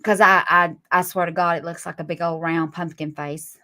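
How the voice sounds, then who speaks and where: A woman in her forties with a drawl, lecturing critics on a live stream. Her bright, forceful voice stays sarcastic and scolding. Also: fairly low pitch, steady tone, moderate pace. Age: 40s. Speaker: livestream guest.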